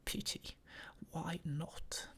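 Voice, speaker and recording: Fussy pompous bird whispered by a reader. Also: very slow. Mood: prim, indignant. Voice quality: breathy whisper. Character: fussy male hornbill majordomo, pompous.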